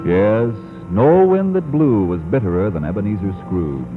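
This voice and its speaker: An actor as a wry, dramatic storyteller narrating a 1940s radio play in an emphatic, theatrical way. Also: male voice, fairly low pitch, lively intonation, moderate pace, close to an everyday voice. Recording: phone-like 1940s radio broadcast with music.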